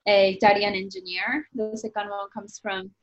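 A Japanese woman whose first language is Japanese, speaking English with a Japanese accent as a guest author, chatty and lively and full of "like" fillers. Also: medium pitch, moderate pace, highs cut off. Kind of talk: casual interview talk.

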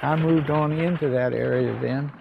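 Creaky elderly American man reminiscing. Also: on the high side, fairly even intonation, lo-fi sound, background noise. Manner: plain-spoken, halting storytelling. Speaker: war veteran. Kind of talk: unscripted reminiscence.

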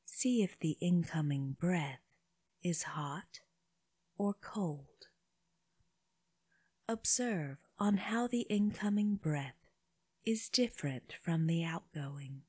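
A woman leading a guided meditation for children, speaking gently in short phrases with long pauses, her breathy voice often dropping toward a whisper. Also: on the low side, animated, no room sound. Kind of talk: slow guided body scan meditation.

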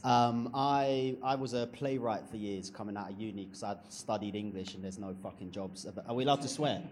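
A man's voice: lowish voice, normal speed, a little mellow. A male writer and comedian on a live panel, talking bluntly and joking, with frequent swearing. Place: event stage with audience.

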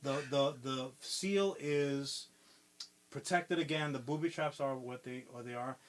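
A man's voice: mid-range voice, a little slow. A man co-hosting a podcast, casually retelling a movie plot in a rambling way, with constant swearing and lots of fillers. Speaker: podcast co-host.

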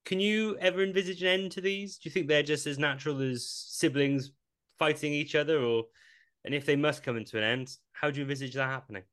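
Polite host guy asking questions, smooth clear voice. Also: on the high side, steady tone, fairly bright tone. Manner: polite, measured. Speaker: podcast host. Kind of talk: interview questions.

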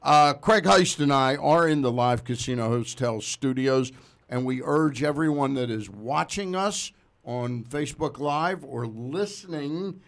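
Gravelly American man hosting sports talk. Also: medium pitch, measured pace, no room sound. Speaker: sports talk show host.

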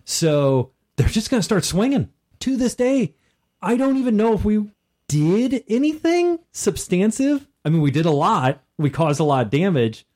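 Male podcast co-host, slightly high register, swooping expressive pitch. Casual game master chatting informally. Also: moderate pace. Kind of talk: casual chat about tabletop gaming.

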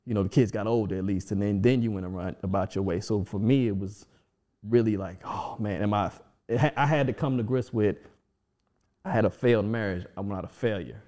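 A man's voice: on the low side, brisk, warm tone. A man in his forties talking casually and reflectively as a guest, with frequent restarts and fillers. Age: 40s. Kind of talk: casual conversation.

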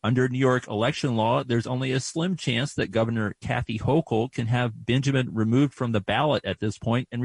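A male radio host, reading a guest introduction in a measured way. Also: medium pitch, vocal fry, breathy edge, no room sound, rough recording. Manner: measured, reads prepared introductions. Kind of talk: radio interview.

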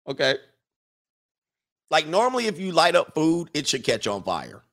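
A man's voice: on the high side, a bit bright. A man hosting a livestream, rambling casually, reading chat comments aloud and laughing often. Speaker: livestream host.

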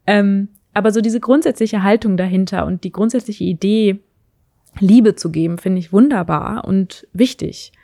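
A woman's voice: mid-range voice, moderate pace, gravelly, warm tone, no echo, clean studio sound. A young woman casually talking through her views on relationships, thinking aloud with frequent hesitations and restarts. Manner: thoughtful, hesitant, many fillers and restarts. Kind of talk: casual reflective monologue.

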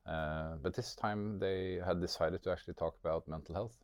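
A man's voice: deep voice, fairly even intonation, moderate pace, no room sound, clean studio sound. A man from Norway with a Norwegian accent, speaking reflectively as an interview guest, hesitant and repeating words as he searches for phrasing. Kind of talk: reflective interview talk about personal experience.

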